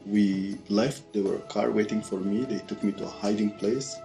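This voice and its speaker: Low-voiced middle-aged Sudanese man over music. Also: fairly even intonation, gravelly, mellow. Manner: deliberate, short phrases with pauses. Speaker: testimony speaker. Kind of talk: personal testimony told to camera. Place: quiet furnished room with fireplace and bookshelves. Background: background music.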